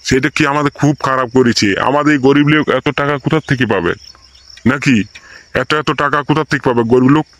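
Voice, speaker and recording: A man's voice: mid-range voice, moderate pace, fairly bright tone, natural voice. A man voicing a sympathetic, grumbling schoolteacher in a comic cartoon, talking colloquially and firing rhetorical questions. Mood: sympathetic, aggrieved. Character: male schoolteacher, sympathetic, grumbling about poor people's hardship.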